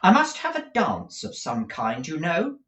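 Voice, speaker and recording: A man's voice: fairly high pitch, wildly swooping pitch, a little slow, a bit bright, recorded from a distance, pitched above the performer's own voice, full character voice. A man doing an anxious, eager pantomimist. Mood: complaining. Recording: roomy echo.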